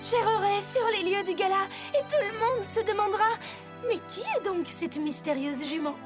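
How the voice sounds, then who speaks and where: A woman's voice: very high voice, normal speed. A woman voicing a vain, elegant female pony in a cartoon dub, with an affected, melodramatic and swooning delivery. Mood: swooning, dreamy. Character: vain, theatrical, elegant female unicorn pony fashion designer. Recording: music behind the voice.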